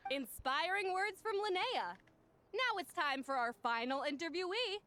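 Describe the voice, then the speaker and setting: Polished, upbeat female tournament host for a video game, high and very animated. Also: a little slow, heavily acted. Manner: upbeat, presentational. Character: bright, polished female championship announcer. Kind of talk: game voice acting as event host.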